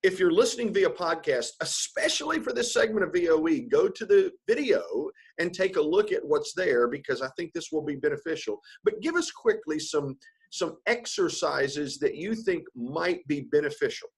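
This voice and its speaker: A man hosting a podcast interview in a casual, informal and somewhat halting, effusive conversational way. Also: a little high, expressive, breathy edge.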